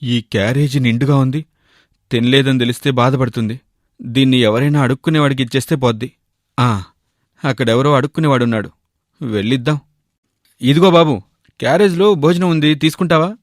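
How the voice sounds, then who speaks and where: A man's voice: medium pitch, a little fast, pauses often, dry recording, clean studio sound, lightly acted. A man playing a food-loving young husband and shopkeeper, grumbling yet tactful, in a cartoon moral story. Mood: coaxing. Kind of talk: animated moral story dialogue.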